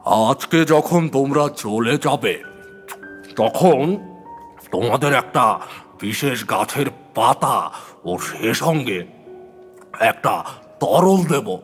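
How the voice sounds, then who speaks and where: A man voicing an older, learned occultist and astrologer in an audio horror story, speaking in a measured, earnest, reflective way. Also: mid-range voice, fairly bright tone, long reverb tail. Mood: grave, mysterious. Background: music.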